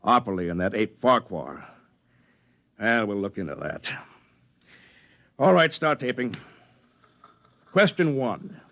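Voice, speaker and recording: A man's voice: lowish voice, very animated, a little slow, creaky, long pauses, a bit bright, heavily acted. An actor playing an authoritative male leader in a 1950s radio drama. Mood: brisk, commanding.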